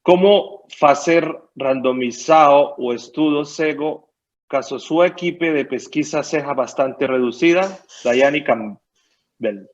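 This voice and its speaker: A man's voice: fairly high pitch, takes it slowly. Male moderator, friendly, reads audience questions, muffled lo-fi line. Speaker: conference moderator.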